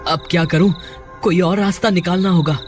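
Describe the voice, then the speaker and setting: Man playing an earnest high-voiced cartoon villager. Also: steady tone, normal speed, soft background music, natural voice. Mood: anxious. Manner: dramatic, exclaiming, earnest. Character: earnest, anxious village brothers in peril, brave and devout. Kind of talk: Hindi cartoon story acting.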